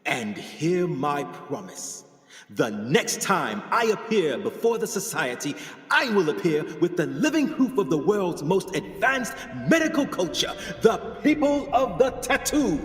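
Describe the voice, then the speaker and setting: A man acting the part of a secretive, intense male explorer in a radio play, speaking in a theatrical, halting, emphatic way. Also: high-pitched, big pitch swings. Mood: grand, declamatory. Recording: echoey hall-like reverb.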